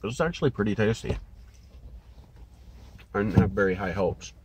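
A man's voice: on the low side, normal speed. A man in his thirties with an Upper Midwest accent, casually reviewing snack food from a parked car. He is a bit congested, fills gaps with ums, and chats while he eats. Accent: Upper Midwest American. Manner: relaxed, many filler ums, talks while eating. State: slightly congested. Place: parked car, indoors, small cabin. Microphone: phone on dash holder. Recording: phone in car with steady background noise.

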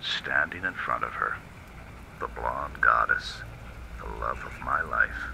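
An actor playing a world-weary, lovesick hard-boiled police detective in a brooding, slangy film noir radio drama style. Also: male voice, a bass voice, slow pace, gravelly, bright, forceful tone, slight background noise, full character voice, low-quality recording.

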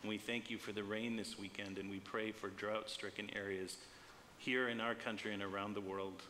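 A middle-aged man, a pastor, reading prayers in a measured, solemn and deliberate way. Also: fairly low pitch, flat delivery, sharp tone. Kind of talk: read liturgy and intercessory prayer. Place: church sanctuary, standing at the altar. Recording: long church reverb, clear background noise.

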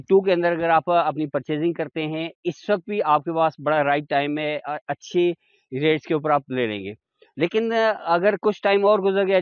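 A man's voice: a little high, clear, resonant tone, no echo, highs cut off. A middle-aged man with a Pakistani accent giving an informal, explanatory sales walk-through as a real estate agent, pointing things out as he goes. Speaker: real estate agent presenting a site visit. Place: outdoors on a forested hillside road.

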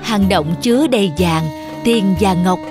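A woman's voice: mid-range voice, a little slow, music in the background. A woman narrator reading a scripted fairy tale for children.

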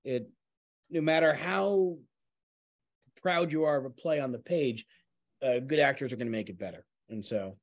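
Halting middle-aged man, dry close sound. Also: medium pitch, no echo. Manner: halting, trailing off, self-effacing. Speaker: playwright and actor. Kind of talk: casual talkback discussion. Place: at home on a video call.

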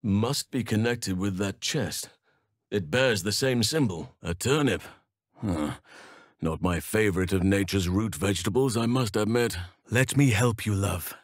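A big, middle-aged elf druid from a fantasy video game. His voice is on the low side and closely recorded with a dry sound. He speaks solemnly and formally, with an earnest, gentle wisdom. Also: no room sound. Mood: earnest, measured. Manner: measured, formal, solemn phrasing. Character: large wise gentle elf druid man, earnest and steadfast. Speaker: video game companion character. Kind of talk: acted fantasy game dialogue.

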